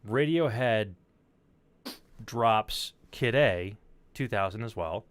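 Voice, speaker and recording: Male stream host, lowish voice, informal interviewer who phrases questions loosely and swears. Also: animated, slow, clear, resonant tone. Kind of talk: casual interview chat.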